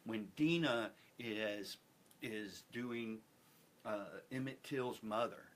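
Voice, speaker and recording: A man speaking earnestly as an interview guest, with a deliberate, emphatic way of repeating phrases for effect. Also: lowish voice. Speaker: guest, theater company representative. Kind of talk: earnest panel interview talk. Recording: clearly noisy background.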